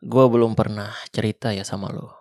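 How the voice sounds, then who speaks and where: Guy doing a flirty ex soldier surviving zombies, jakarta slang, slow gravelly talk, dry close mic. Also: on the low side, dry recording. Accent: Jakarta colloquial. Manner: soft, teasing, reassuring. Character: tough male ex-special-forces zombie survivor, protective, flirty. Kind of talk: ASMR roleplay.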